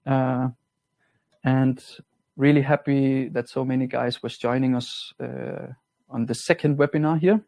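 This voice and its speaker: Man with a Danish accent, mellow and dry. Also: mid-range voice, a little slow, vocal fry, dry recording. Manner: relaxed, plain-spoken, slightly non-native grammar. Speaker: product trainer. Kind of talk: webinar software walkthrough.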